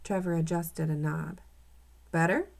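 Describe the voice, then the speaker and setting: A woman's voice: on the low side, moderate pace, vocal fry, a little mellow. A woman reading a children's storybook aloud as the narrator.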